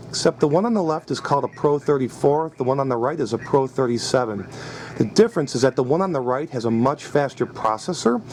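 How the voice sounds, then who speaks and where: A man's voice: mid-range voice, a little mellow. A middle-aged man giving an informal, conversational product demonstration in an explanatory way. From United States. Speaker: scanner hobbyist demonstrating his collection. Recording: noticeable background noise.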